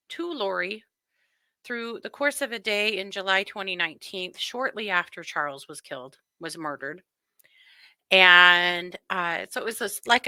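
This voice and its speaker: Bright-voiced woman hosting, wry and casual. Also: medium pitch, clear, resonant tone, no room sound. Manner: wry, mocking. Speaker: host. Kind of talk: casual true crime commentary.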